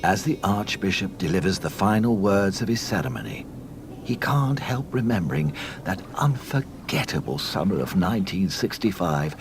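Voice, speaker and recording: Expressive male storybook narrator. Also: on the low side, noisy background. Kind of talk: scripted mock-solemn read-along narration.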